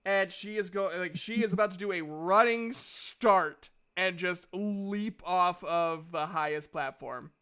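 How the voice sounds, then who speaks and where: A woman improvising casual narration as a tabletop game master, haltingly restarting her descriptions. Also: on the low side, a bit bright.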